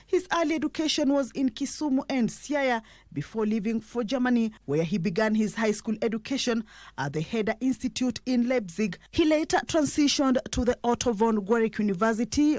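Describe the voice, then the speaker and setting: A woman's voice: a little high, darkish tone, no echo, clean studio sound. A woman with a Kenyan accent narrating a scripted television news report.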